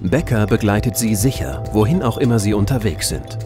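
A man's voice: on the low side, animated, normal speed. A man delivering scripted promotional narration as a voice-over. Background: music.

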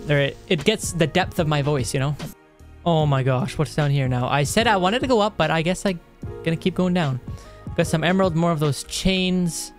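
A young man giving casual, excitable let's-play commentary in a chatty way, close on a studio microphone. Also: on the high side, normal speed, soft background music. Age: young adult. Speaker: gaming video host. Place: indoor room at a desk. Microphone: close dynamic studio microphone.

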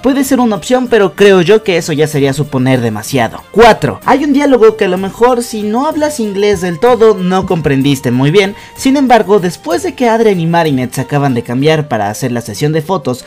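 A man's voice: sits high, sing-song, brisk, hardly any pauses, a little mellow. A young man with a Mexican accent presenting scripted fan commentary as a video host, in an emphatic, enthusiastic way. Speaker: YouTube host. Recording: voice-over with music behind.

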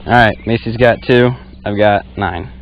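A young man in his twenties, casually teasing and keeping score out loud while fishing from a small boat on a lake. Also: fairly low pitch, moderate pace, highs cut off, background noise. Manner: teasing, keeps score aloud. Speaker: fishing vlogger. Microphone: action camera on a chest harness.

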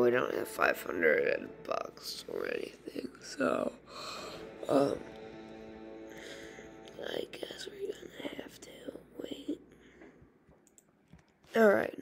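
A woman with a raspy, hoarse voice giving rambling, casual commentary while playing a game. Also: extremely low pitch, huge pitch swings, takes it slowly, long pauses, in a whisper, over music. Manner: rambling, chatty, full of fillers. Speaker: gaming channel host.

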